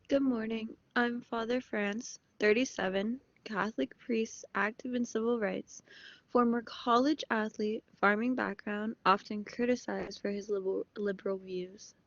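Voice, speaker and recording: A woman's voice: a little high, steady tone, a little slow, no room sound, lo-fi sound. A woman reading as a thirty-something male priest. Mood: plain. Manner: terse list-like delivery.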